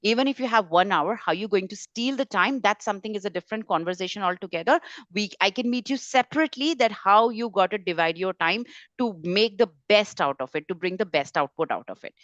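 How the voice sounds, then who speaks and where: A woman's voice: mid-range voice, lively intonation, fairly quick, fairly bright tone, dry recording. A woman speaking informally as a tutor in an online webinar, stressing points emphatically through repetition. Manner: emphatic, repeats words and phrases for stress. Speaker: French tutor hosting a webinar. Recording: slightly dull, lo-fi webinar audio.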